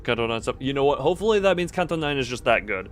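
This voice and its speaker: A man's voice: mid-range voice, big pitch swings, racing. A man live streaming a game, chatting casually, reading dialogue aloud and breaking off into asides to chat. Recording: game music behind the voice.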